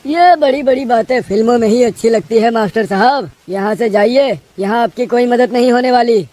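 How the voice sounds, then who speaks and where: A woman's voice: on the high side, normal speed, mellow, dry recording, natural voice. Woman playing a haughty, scornful village headman. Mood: dismissive. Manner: scolding, condescending. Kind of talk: cartoon moral story dialogue.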